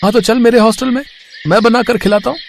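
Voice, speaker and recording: A man's voice: very high voice, fairly even intonation, quick pace, vocal fry, slight background noise. A man playing a naive, earnest young village student in a moral story, simple and hesitant in manner. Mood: cheerful. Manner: simple, wide-eyed, hesitant.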